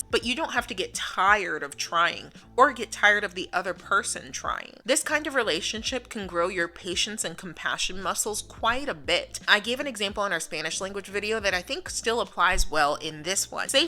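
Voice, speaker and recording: A woman, a native English speaker, hosting her channel and giving earnest, expressive advice in a casual talk to camera, with emphatic rhetorical questions. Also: lowish voice. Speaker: channel host.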